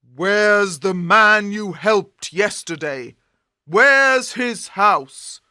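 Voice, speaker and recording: A man's voice: high voice, expressive, extremely slow, sharp tone, smooth, no echo, studio quality, heavily acted. A man voicing an angry, scheming bandit chief in a commanding, menacing manner for a storybook.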